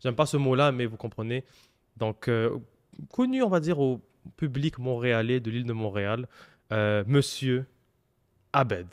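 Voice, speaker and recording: A man with a Québécois French accent hosts a casual podcast. His voice has an ordinary mid-range pitch. He speaks informally and often restarts his sentences. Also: unhurried, long pauses. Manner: informal, hesitant, many fillers and restarts. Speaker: podcast host.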